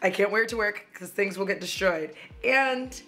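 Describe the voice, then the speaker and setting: A young woman, chatting casually while doing her makeup, somewhat hoarse from losing her voice, rambling and laughing often. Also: mid-range voice, moderate pace, pushed and bright, soft background music. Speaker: beauty vlogger. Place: at home, indoors.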